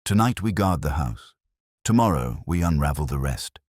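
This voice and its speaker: A large, imperious older detective, acted by a man speaks in formal, terse pronouncements. The voice is extremely deep and husky, deliberate and grumpy, in the style of a mystery audiobook. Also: expressive, a little mellow, no echo, clean studio sound, full character voice. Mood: grave, commanding.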